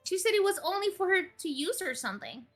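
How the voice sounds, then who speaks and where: A woman voicing a playful, pouty, clingy young female mage from an anime visual novel, excitable and stubborn. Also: very high-pitched, quick pace, soft background music, heavily acted. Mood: defensive, pouty.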